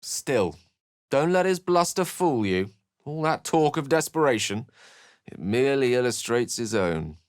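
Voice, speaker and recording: An actor playing an eloquent, wry wizard companion in a fantasy video game, with articulate, theatrical phrasing. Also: male voice, medium pitch, very animated, measured pace, clear, resonant tone, dry recording, studio quality. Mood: wry, thoughtful. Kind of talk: fantasy game dialogue and narration.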